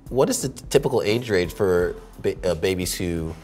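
A very deep-voiced man hosts a business interview, keen and affirming as he leads his guest. He sits in an open-plan office with a lapel mic, faint music playing behind him. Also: lively intonation, moderate pace, a little mellow. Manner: enthusiastic, affirming with frequent yeahs. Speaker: interview host.

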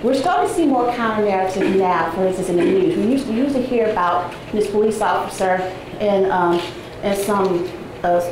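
A woman in her forties giving an academic talk to a seated audience, soft-spoken and conversational with frequent ums, heard from a distant camera in a large seminar room. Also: mid-range voice, normal speed, few pauses. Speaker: guest lecturer. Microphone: far camera, no visible mic. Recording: echoey room, noisy background.

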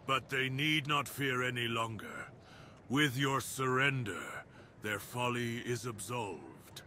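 A man's voice: mid-range voice, slow pace, bright, forceful tone, a little hiss or hum. A man voicing a proud, menacing dire wolf beast in an ASMR roleplay, speaking with grave, commanding, theatrical deliberation. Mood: brooding.

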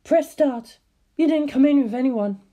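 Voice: high-pitched, moderate pace, mellow, dull audio, close to an everyday voice. A performer voicing a polite female office receptionist with a sinister edge in a film script reading. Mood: puzzled, questioning.

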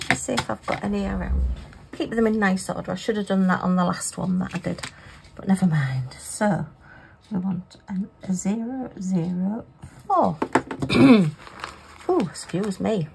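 A middle-aged woman talking casually to herself while crafting, her voice going hoarse. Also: mid-range voice, lively intonation, unhurried, a little mellow, a little hiss or hum. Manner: chatty, talks to herself. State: losing her voice. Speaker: craft vlogger.

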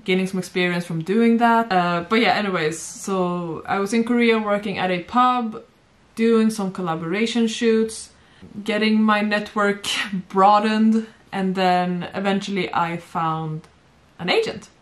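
A woman's voice: medium pitch, a little slow, few pauses. A young woman from Sweden chatting casually at home about her past modeling work, with frequent giggles. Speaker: former model, guest. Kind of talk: casual storytelling chat. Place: bright home room, seated on sofa.

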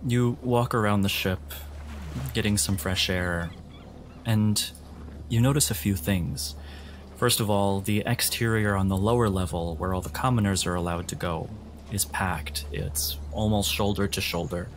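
A man running a tabletop game as game master, narrating scenes descriptively in a measured way. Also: on the low side, fairly even intonation, slight background noise. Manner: measured, addresses players in second person.

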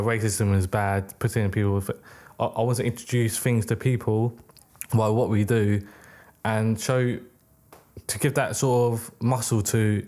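Low-voiced young British-born man, rambling. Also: a little mellow. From United Kingdom. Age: young adult. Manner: rambling, many restarts and self-corrections. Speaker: guest, record label founder. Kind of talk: casual interview. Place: small podcast studio. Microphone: boom mic on arm, headphones.

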